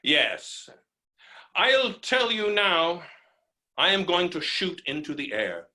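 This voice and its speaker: An actor playing a hot-headed, stammering landowner who is helplessly falling in love, in a theatrical staged reading of a farce. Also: male voice, high voice, sing-song, pushed and bright, exaggerated voice. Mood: halting, tender. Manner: theatrical, stammering, swings from halting to bellowing.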